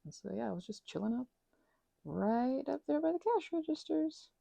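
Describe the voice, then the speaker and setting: A woman rambles softly over her crafts for an ASMR video, close to the microphone, her voice full of gravelly fry and a husky breathiness. Her pitch swings widely as she meanders from thought to thought, often dropping into a whisper. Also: very animated, normal speed, dry recording.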